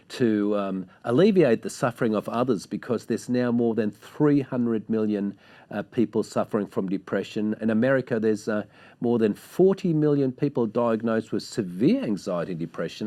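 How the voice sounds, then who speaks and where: Guy hosting a tv chat show, gushing and praising, lots of ums, soft mellow voice. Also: lowish voice. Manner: effusive, praising, with many hesitations. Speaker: TV host. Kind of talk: TV interview.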